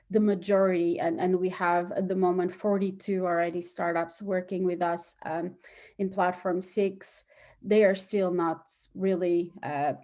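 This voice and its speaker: A woman's voice: on the low side, warm tone. A woman from Portugal, answering conversationally as an interview guest. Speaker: interview guest, start-up hub operations chief.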